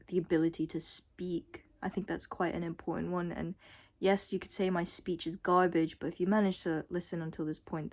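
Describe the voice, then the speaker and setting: A young adult woman vlogger giving a casual, unscripted monologue in a rambling, blunt way with casual swearing. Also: on the low side. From Scotland and Thailand.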